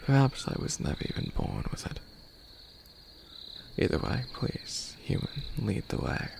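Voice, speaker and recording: A man playing a centuries-old skeleton demon lord in an ASMR roleplay, soft-spoken and partly whispering, hesitant and curious, with old-fashioned formal phrasing. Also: fairly low pitch, huge pitch swings, measured pace, creaky, noisy background, full character voice. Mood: playful, puzzled.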